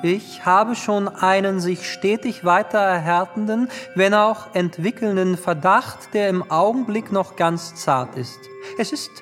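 Self-important nobleman sleuth, grandiloquent, high-pitched, reverberant hall, music underneath, radio drama. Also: a little slow, hardly any pauses, a bit bright. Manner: pompous, grandiloquent speech-making. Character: pompous aristocratic violinist and self-appointed amateur detective. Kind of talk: theatrical German radio drama acting.

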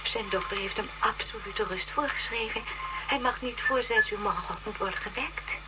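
A woman playing a brisk, chatty police communications operator in a Dutch science-fiction radio play. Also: mid-range voice, loud music behind the voice, lightly acted. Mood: apologetic. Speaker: operator at a police research centre. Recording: noisy radio drama, video-call scene.

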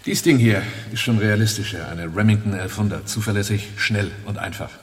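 A man plays a calm, dryly menacing instructor in a radio drama. His low voice is controlled and matter-of-fact, heard at a distance from the microphone in a long, ringing echo. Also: a little fast, a little hiss or hum, lightly acted. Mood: cool, instructing. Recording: very reverberant room, distant microphone.

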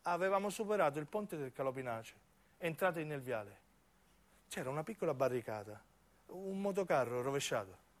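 A man's voice: fairly high pitch, animated, brisk. A man with a southern Italian accent narrating a first-person story theatrically, as a solo actor in a radio play. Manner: dramatic, acts out dialogue.

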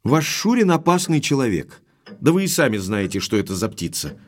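A frank, indignant gentleman of title in an audio drama. His middle-pitched voice moves in lively swings as he argues bluntly, like the master of the house. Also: normal speed. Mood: reproachful, firm. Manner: blunt, argumentative, expressive.

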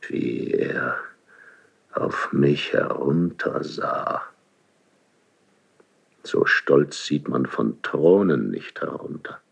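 An actor playing a proud, embittered ageing king in a classical German verse radio drama, slow and halting with a gravelly, husky voice. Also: extremely low pitch, full character voice. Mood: brooding grief. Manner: slow, halting, brooding, theatrical declamation. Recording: slightly dull archival radio drama recording.

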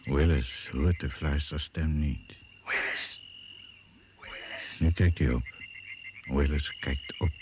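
An actor playing a brooding narrator of a radio jungle thriller, speaking in deliberate, suspenseful phrases. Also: male voice, a bass voice, heavily acted. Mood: ominous. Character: brooding, ominous male storyteller of a jungle thriller. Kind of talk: Dutch radio play narration. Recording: noisy old radio play recording.

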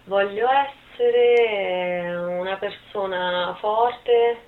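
A woman in her twenties answering interview questions casually, in a hesitant, halting way. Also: medium pitch. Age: 20s. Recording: phone-like, thin sound with faint noise.

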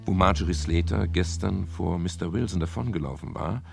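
A middle-aged private eye in a 1970s radio crime play. His voice sits very low with a gravelly creak, and he delivers dialogue and narration alike with dry, weary irony. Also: brisk, over music. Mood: narrating, dry. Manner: dry, musing, wry. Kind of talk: 1970s German radio crime drama acting and first-person narration.

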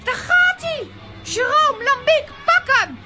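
A woman's voice: very high voice, expressive, slow, over loud music, exaggerated voice. An actress playing a sassy, stubborn, eager little girl in a children's radio play. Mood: excited. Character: feisty, stubborn, curious little girl heroine.

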